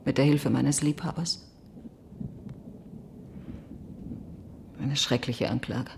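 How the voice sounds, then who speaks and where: An actress playing a worldly, bitter French marquise in a radio drama, eloquent, ironic and probing. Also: female voice, very deep voice, fairly even intonation, normal speed, gravelly, noisy background. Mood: confiding, wry. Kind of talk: German radio drama acting.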